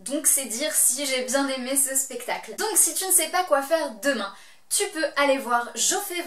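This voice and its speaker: A woman's voice: high voice, lively intonation, normal speed, a bit bright, roomy sound. A woman with a Belgian French accent reviewing a comedy show in a playful, teasing vlog, talking straight to the viewer. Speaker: vlog host reviewing shows.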